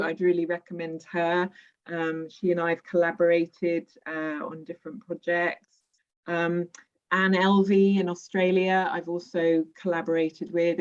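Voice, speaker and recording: A woman poet answering questions in an online session, speaking in a thoughtful, measured way with frequent pauses. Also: fairly low pitch. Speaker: poet and workshop leader. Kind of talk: online talk and Q&A. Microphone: video call. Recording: lo-fi video call audio.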